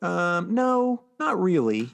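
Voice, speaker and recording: A man's voice: sits high, big pitch swings, takes it slowly, vocal fry. A man co-hosting a podcast, recapping things in a casual, explanatory and informal way. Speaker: podcast co-host. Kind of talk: casual chat, recapping comic books.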